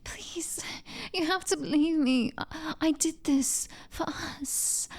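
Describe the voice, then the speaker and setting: A woman with a British accent playing a young, clingy, devoted vampire sister in an ASMR roleplay, speaking in a soft, breathy, halting whisper. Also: high-pitched. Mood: hurt, pleading. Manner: halting, tender, pleading.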